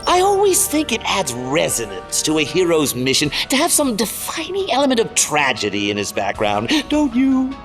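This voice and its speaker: Crazy gloating clown supervillain for an audio drama, super animated, mocking, music behind him. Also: male voice, a little high, moderate pace, hardly any pauses, heavily acted. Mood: mock sweet. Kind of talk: audio drama villain acting.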